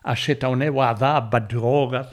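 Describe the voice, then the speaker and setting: A man's voice: mid-range voice, steady tone, brisk. A man chatting casually in conversation.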